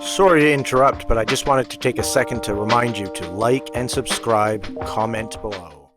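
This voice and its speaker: A man's voice: medium pitch, normal speed. Upbeat man with music behind. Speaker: channel promo voice. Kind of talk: inserted promo reminder.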